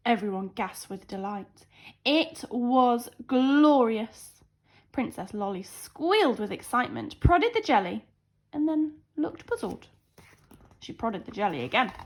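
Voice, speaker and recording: A woman's voice: a little high, sing-song, slow. A woman teacher reading a storybook aloud to young children with dramatic, emphatic expression.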